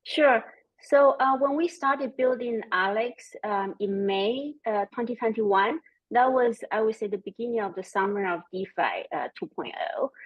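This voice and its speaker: A woman in her forties with a German-Chinese accent, speaking as a panelist in a measured way with frequent fillers. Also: on the high side, husky, dull audio. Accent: German and Chinese mixed. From Austria. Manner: measured, with frequent "um" and "you know". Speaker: panelist, company CEO. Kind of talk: panel discussion.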